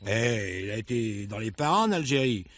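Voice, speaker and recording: A man's voice: on the low side, animated, normal speed, fairly bright tone, no room sound. An actor playing a plain-spoken, deferential village fireman giving testimony in a colloquial, hesitant way, in a French radio crime drama. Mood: chatty, confiding.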